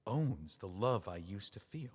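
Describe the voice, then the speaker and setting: Guy doing a sarcastic bitter widower, lively swinging voice, echoey room. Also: on the low side, a little slow, husky, heavily acted. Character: bitter grieving widower, sardonic and wounded. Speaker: author. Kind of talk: audio drama acting.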